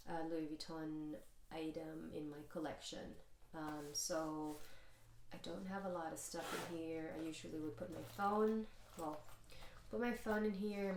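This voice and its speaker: A congested woman with a nasal voice chatting casually and a little haltingly to her channel's viewers, as she gets over a mild illness. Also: low voice, darkish tone. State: congested, recovering from mild sickness. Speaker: vlogger, channel host. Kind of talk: casual handbag collection video chat. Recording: echoey room with hiss and clicks.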